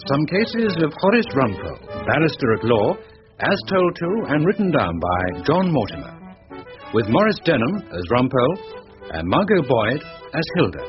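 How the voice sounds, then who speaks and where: A man's voice: medium pitch, huge pitch swings, moderate pace. A man reading a scripted title announcement for a radio programme, as its announcer. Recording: dull radio recording under music. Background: loud theme music with guitar.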